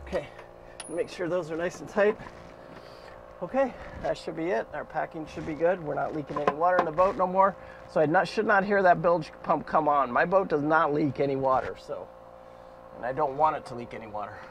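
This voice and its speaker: Man, fifties, boat owner narrating his own repair, somewhat high voice, loud engine noise behind. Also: fairly quick. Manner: plain practical talk with fillers, thinking aloud while working. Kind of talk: hands-on repair walkthrough. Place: cramped boat engine room. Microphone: action camera mounted in the engine room. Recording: noisy engine room. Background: running boat engine.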